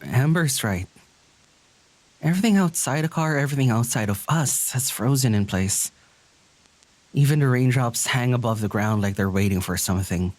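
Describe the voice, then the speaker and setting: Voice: medium pitch, expressive, moderate pace, no echo, lightly acted. An actor playing an anxious, withdrawn young diviner in an audio drama, hesitant in dialogue and lyrical in narration. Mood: brooding, lyrical.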